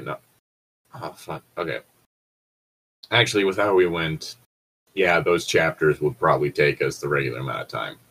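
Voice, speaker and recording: A man's voice: low voice, slight background noise, low-quality recording. A man bantering casually and reading aloud as a show host, with a sweary, exasperated manner.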